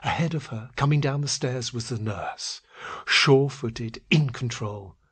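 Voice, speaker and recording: A man reads literary fiction aloud with a husky, breathy voice of medium pitch. His storytelling is deliberate and dramatic, able to move between hush and urgency. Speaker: audio story narrator.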